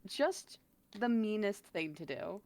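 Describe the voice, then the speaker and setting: A woman's voice: mid-range voice, lively intonation, takes it slowly, a little mellow, no echo, studio quality. A woman chatting casually as a livestream guest, with mock-indignant, playfully stubborn banter and casual swearing. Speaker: livestream guest, podcast voice performer.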